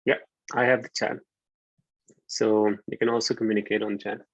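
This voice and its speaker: A man from Pakistan in his thirties giving a relaxed online university lecture, often pausing with ums. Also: on the low side. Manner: relaxed, hesitant with frequent ums. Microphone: computer mic on a video call. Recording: dull lo-fi video call audio.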